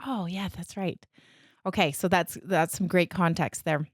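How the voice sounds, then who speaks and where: A woman's voice: on the low side, fairly even intonation, moderate pace, husky, dry recording, studio quality. A woman hosting a podcast interview, chatty and encouraging, with fillers and restarts. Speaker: podcast host.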